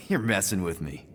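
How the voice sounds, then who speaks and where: An actor playing a casual, wisecracking space adventurer in a video game, with an informal, flippant way of talking. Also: male voice, deep voice, fairly bright tone, a little hiss or hum, heavily acted. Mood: skeptical. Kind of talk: video game cutscene acting.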